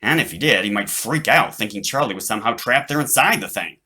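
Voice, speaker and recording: A man reading his own children's book aloud as an animated narrator. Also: fairly low pitch, fast, slightly rough.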